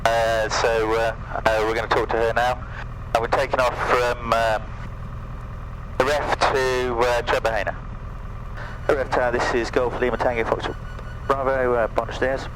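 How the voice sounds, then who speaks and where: A man's voice: medium pitch, flat delivery, normal speed, background noise. A man speaking as a pilot, with hesitant, stop-start delivery of informal talk and radio calls. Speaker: pilot and vlogger.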